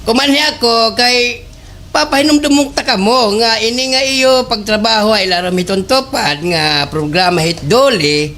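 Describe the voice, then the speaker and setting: Bright, bossy Waray village captain. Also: male voice, extremely high pitch, moderate pace. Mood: officious. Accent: Waray, Eastern Visayas Philippines. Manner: officious, scolding. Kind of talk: slapstick radio comedy acting.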